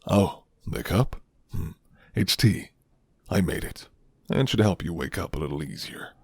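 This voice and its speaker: A rugged, gentle werewolf of the woods, acted by a man in an intimate ASMR roleplay. His voice sits very low and crackles with gravel, teasing and unhurried. It is recorded close and dry, with a hushed, breathy delivery. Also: animated, no echo, heavily acted. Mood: soft, amused. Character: flirty, gentle rugged male werewolf living alone in forest.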